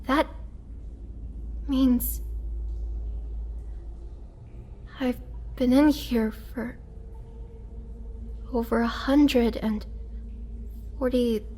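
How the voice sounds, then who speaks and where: A woman playing a lonely, wry immortal vampire prisoner who looks young, in a halting, dramatic roleplay style. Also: a little high, steady tone, mellow. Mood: stunned, insistent. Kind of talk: dramatic girlfriend roleplay audio acting.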